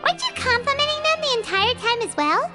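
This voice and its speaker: A chirpy tiny fairy companion, very high. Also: female voice, big pitch swings, fast. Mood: curious. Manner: refers to herself in the third person, chirpy. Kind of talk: video game voice acting. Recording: game audio with clear music behind.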